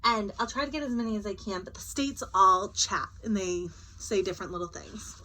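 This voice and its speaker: A woman teacher doing a children's read-aloud, expressive and chatty, with casual asides. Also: mid-range voice, brisk, a little hiss or hum, low-quality recording.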